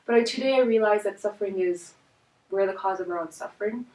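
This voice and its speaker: Earnest young woman, roomy distant sound. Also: medium pitch. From India. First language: Hindi. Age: 20s. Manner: earnest, reflective, pauses with soft fillers. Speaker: life coach. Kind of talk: personal storytelling vlog.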